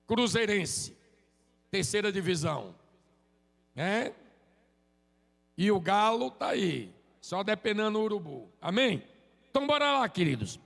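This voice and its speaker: A man, preaching a relaxed, joking sermon and prompting the congregation to answer. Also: sits high, measured pace, vocal fry, long pauses, long reverb tail. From Sergipe, Brazil. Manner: joking, chatty, drops "amém?" tags. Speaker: visiting preacher. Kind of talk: informal sermon with crowd call-and-response. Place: church sanctuary.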